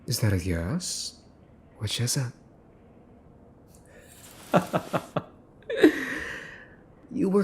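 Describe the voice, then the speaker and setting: Man playing a smug, slow-talking young mafia heir. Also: fairly low pitch, a little mellow. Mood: possessive, playful. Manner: smug, taunting, drawn-out phrasing with breathy whispers. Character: possessive, teasing young mafia boss's son holding a captive. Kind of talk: ASMR boyfriend roleplay acting.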